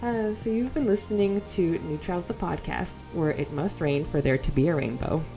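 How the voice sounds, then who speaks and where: A woman's voice: lowish voice, moderate pace, over music, rough recording. A woman co-hosting a casual fan podcast, chatting and reading out listener comments in a giggly, enthusiastic way. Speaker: podcast co-host.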